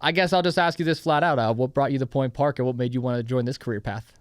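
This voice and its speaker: A man's voice: mid-range voice, quick pace, dry recording. A young man hosting a podcast in a university studio, with friendly, announcer-like introductions. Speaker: podcast host. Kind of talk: casual interview hosting.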